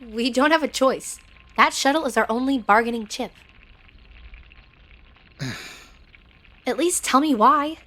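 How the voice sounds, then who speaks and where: A woman's voice: high-pitched, measured pace, long pauses, pushed and bright, heavily acted. A woman playing a determined, headstrong young crew leader in a sci-fi audio drama, direct and insistent. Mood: demanding.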